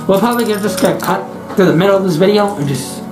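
A man's voice: high-pitched, fast. A young man chatting casually while exploring an abandoned building at night, curious and swearing freely. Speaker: video host. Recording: echoey empty rooms with music.

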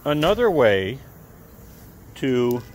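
A man with a soft, calm voice chatting casually to viewers while cleaning something outdoors on a lawn, filmed on a close-held camera. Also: mid-range voice, very slow, heavy background noise. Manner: chatty, rambling asides to the viewer. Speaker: video creator. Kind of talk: casual how-to talk while working. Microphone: camera held close, point of view.

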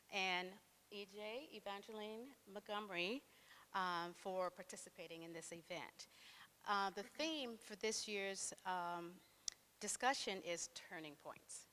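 A woman moderating a panel in a gallery, thanking sponsors and then asking questions with frequent pauses. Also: medium pitch, pushed and bright, slight background noise. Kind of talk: opening remarks and questions at a panel. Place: art gallery, indoors.

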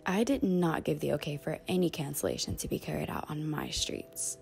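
An actress playing a rich, condescending crime lord, smug and controlled, in an audio drama. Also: female voice, low-pitched, wildly swooping pitch, brisk, creaky, a little mellow, soft background music, full character voice. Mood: cool disdain.

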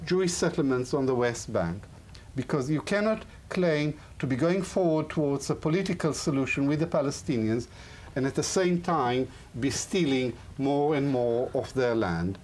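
A man speaking on a lecture panel, making his case in a measured, argumentative way. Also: mid-range voice, darkish tone, a little hiss or hum. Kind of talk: prepared lecture talk.

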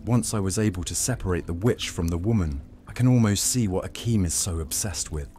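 A man acts out a sardonic, flirtatious Roman commander in a fantasy audio story. He speaks in a deep register with a dry tease, over faint music. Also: a little fast, mellow, lightly acted. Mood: teasing. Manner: dry, teasing. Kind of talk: fantasy roleplay audio drama.

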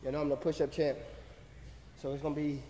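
A man's voice: on the high side, level tone, a little fast, dark tone, a little hiss or hum. A young man casually narrating his chest workout between sets, in a laid-back way with slang, recorded by a camera across a large indoor gym. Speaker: fitness vlogger. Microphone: camera on a stand across the room. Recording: echoey gym, far from camera.

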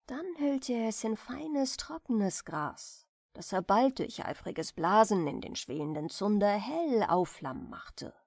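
Slow, expressive woman reading a bedtime story. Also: mid-range voice, gravelly, dry recording, clean studio sound. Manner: gentle, measured, expressive storytelling. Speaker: audiobook reader of bedtime stories. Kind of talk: soft bedtime story narration.

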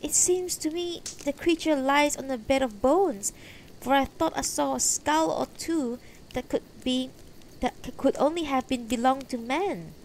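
A woman voicing a gentle, protective elderly husband in a fantasy story, earnest and soothing. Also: sits high, measured pace, slight background noise, pitched above the performer's own voice, low-quality recording.

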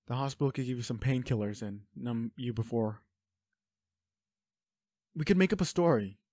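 A man's voice: mid-range voice, animated, normal speed, mellow, dry recording, clean studio sound, natural voice. A man playing an anguished, protective werewolf boyfriend in an audio roleplay, speaking with urgent, pleading emotion. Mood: reluctant, soothing. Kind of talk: intimate dramatic roleplay acting.

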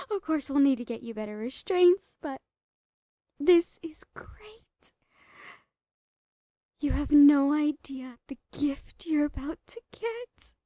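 A woman's voice: sits high, wildly swooping pitch, slow pace, pauses often, warm tone, dry recording, clean studio sound, heavily acted. A woman playing a psychotic female doctor who dotes obsessively on a captive, cooing and slipping into whispers in a horror roleplay. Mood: gleefully obsessed.

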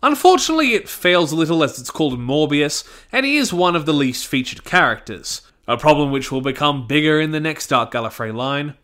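Male reviewer, bright forceful voice, highly animated delivery, blunt and sweary scripted narration. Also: a little fast, few pauses. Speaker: reviewer, channel host.

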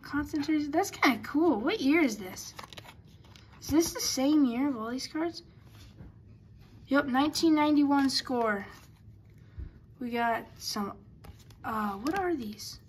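A woman with a high, light voice talks casually while sorting trading cards at a table. She is chatty and often trips over hard player names. A faint hum sits quietly behind her. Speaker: card collector. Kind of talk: casual card-opening commentary. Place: indoors at a table.

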